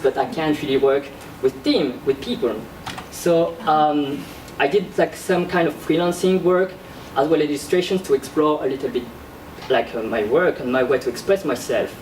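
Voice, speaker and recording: A young man, an artist born in Korea and raised in France, presenting his work in a classroom in a halting, casual way with many fillers. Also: on the high side, distant mic, a little hiss or hum. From born in South Korea, raised in France. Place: classroom with projector screen. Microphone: far camera at back of room. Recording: roomy echo from across the room.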